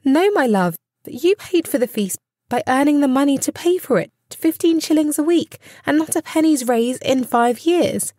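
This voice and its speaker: Male actor as indignant poor housewife in audio drama: high, emphatic, scolding, devoted. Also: lively intonation, moderate pace, few pauses, dark tone, no echo, clean studio sound. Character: indignant, loving, sharp-tongued working-class wife and mother.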